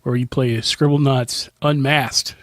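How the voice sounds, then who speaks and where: A male podcast co-host in casual banter, joking and loud at the start of his sentences. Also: medium pitch, steady tone, unhurried, dry recording, clean studio sound.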